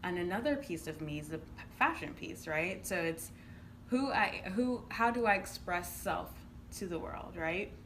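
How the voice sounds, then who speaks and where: Low-voiced woman from Uganda, noisy recording. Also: expressive, normal speed, a bit bright. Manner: earnest, explanatory, often ending points with "right?". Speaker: interviewed entrepreneur and youth programme coach. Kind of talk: conversational interview answers. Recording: clearly noisy room ambience.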